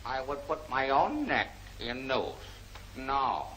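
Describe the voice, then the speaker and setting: An actor playing a polite, cautious Chinese shopkeeper in an old film serial, speaking in halting, formal sentences with dropped words. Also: male voice, medium pitch, very animated, sharp tone, heavily acted. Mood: wary, hesitant. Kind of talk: 1940s film serial acting. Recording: noisy old film soundtrack.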